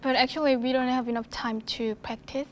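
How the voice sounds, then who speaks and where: High-voiced woman giving halting quiz clues. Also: fairly even intonation, slight background noise. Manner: halting simple English, many "uh"s. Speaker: quiz show contestant. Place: TV studio. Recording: loud music under the voice.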